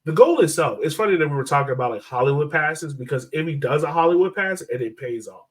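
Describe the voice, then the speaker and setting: A man's voice: on the high side, expressive, fast, distant mic. Male sports podcast co-host, informal and slangy, recorded slightly away from the mic. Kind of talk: casual sports chat.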